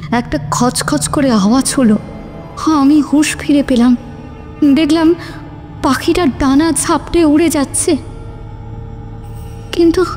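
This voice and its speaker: A woman's voice: high voice, darkish tone. A woman playing a frightened wife who narrates her own ghostly encounter in a theatrical, suspenseful horror cartoon style. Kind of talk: dramatic first-person horror narration. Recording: long reverb, music behind.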